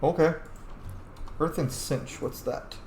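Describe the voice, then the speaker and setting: A man's voice: a little high, steady tone, slow. A man giving casual, informal, sometimes sweary commentary on a video game he is role-playing. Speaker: gaming streamer, role-player. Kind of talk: casual game playthrough commentary with in-character replies. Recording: roomy echo with faint background noise.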